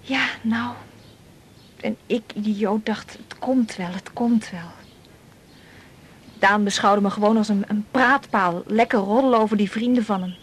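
An actress playing a lovelorn, wistful young woman in a naturalistic radio drama, confiding in a halting, often breathy way. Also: medium pitch, a little hiss or hum, natural voice. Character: lovelorn, wistful young woman confessing hopeless love to colleague.

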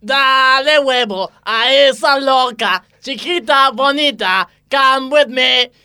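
An Argentine man from a comedy group, bantering casually and cutting in excitedly while sketches are rehearsed. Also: extremely high pitch, slow, clean tone.

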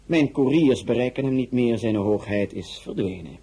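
An actor playing a suave, imperious tsar in a radio drama, speaking formally and in measured phrases with a husky voice. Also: male voice, mid-range voice, expressive, mellow. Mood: probing, puzzled. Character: suave, imperious Tsar of Russia, courtly and probing.

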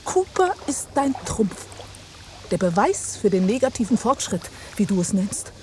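Teasing biologist with very lively intonation. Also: female voice, mid-range voice, a little slow, slight background noise. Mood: challenging, teasing. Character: teasing, challenging female biologist, old friend of journalist. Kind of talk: German radio play acting.

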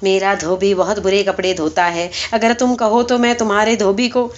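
A woman voicing a feeble, foolish old male clerk who is hard of hearing, slowly querying and repeating things in a story. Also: high-pitched, level tone, dull audio, lightly acted. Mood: muddled, dismissive. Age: elderly. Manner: slow-witted, querying and repeating what was heard.

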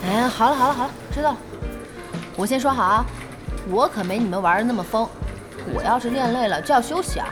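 An actress playing a lively, fiery young female internet cafe boss in a Chinese audio drama, speaking in exclamations. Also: on the high side, quick pace, close to an everyday voice. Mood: huffy. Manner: exclamatory, expressive. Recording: music behind the voice.

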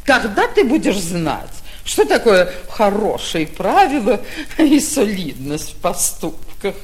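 A woman's voice: mid-range voice, sing-song, takes it slowly. An actress playing a vain, affected middle-aged provincial mother, haughty and theatrical, in a vintage radio stage comedy. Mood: scolding. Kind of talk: classic stage comedy acting, radio play.

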